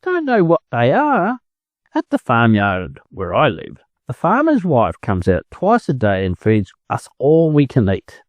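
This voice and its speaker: A man voicing a scruffy, bold half-grown chicken with a rough, brash way of talking, in a storybook reading. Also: medium pitch, wildly swooping pitch, a little slow, no room sound, highs cut off. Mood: incredulous, boastful.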